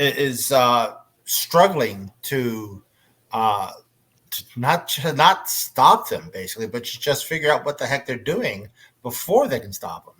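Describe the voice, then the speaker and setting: A man's voice: medium pitch, a bit bright. Conversational man moderating a fan panel. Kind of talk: casual panel chat.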